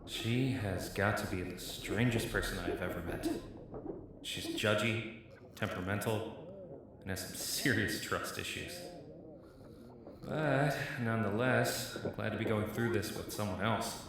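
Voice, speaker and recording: An audio drama protagonist, acted by a man, earnest and unsure of himself. His slightly low voice is natural and hesitant, weighed down by self-doubt. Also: measured pace, background noise. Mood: wry, soft. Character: troubled, earnest young male hero who doubts himself.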